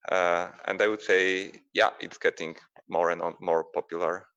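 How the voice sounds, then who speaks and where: A low-voiced man answers viewer questions on a live stream. His gravelly voice comes in halting, plain-spoken phrases full of fillers. The stream audio sounds muffled and lo-fi. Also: steady tone, fairly bright tone. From Poland. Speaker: museum staff, sailor.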